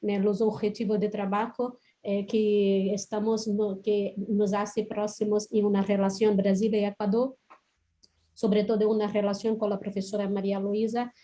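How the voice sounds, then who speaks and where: A woman's voice: mid-range voice, flat delivery, normal speed, warm tone. A woman from Brazil with a Portuguese accent, giving an academic webinar talk over a video call, hesitant with many fillers. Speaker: guest lecturer.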